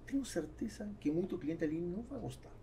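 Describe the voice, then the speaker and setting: A man in his forties, a native Spanish speaker with a South American accent, talking haltingly as a guest in an interview. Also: sits high, expressive, dark tone, a little hiss or hum. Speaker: business owner, podcast guest. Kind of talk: casual interview chat. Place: small foam-treated podcast studio. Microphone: podcast mic on boom arm.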